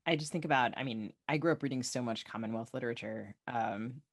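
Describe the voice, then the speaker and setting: A woman with a low voice chats as a podcast host. She muses aloud in a tentative, reflective style, feeling her way toward each thought. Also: brisk. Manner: tentative, musing. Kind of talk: reflective casual chat.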